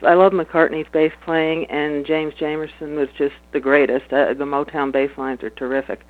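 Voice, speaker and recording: Low-voiced woman on a tinny phone line. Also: flat delivery, moderate pace. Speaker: musician guest. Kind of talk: casual interview answers.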